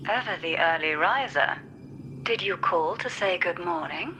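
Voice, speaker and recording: A woman's voice: lowish voice, animated, a little slow, sharp tone, a little hiss or hum, low-quality recording. A woman voicing a composed, teasing grown woman in a fantasy video game. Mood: coy, teasing.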